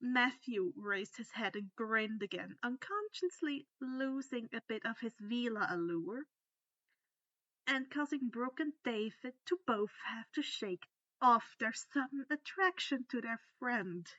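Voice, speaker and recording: A woman's voice: a little high, animated, unhurried, a bit bright, no room sound, muffled recording. A woman reading a scripted fan fiction story aloud as its narrator.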